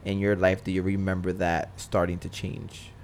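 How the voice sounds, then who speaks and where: A young man hosting a casual podcast interview, relaxed, with lots of ums and easy laughs. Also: low-pitched, moderate pace, a little hiss or hum. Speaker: podcast host.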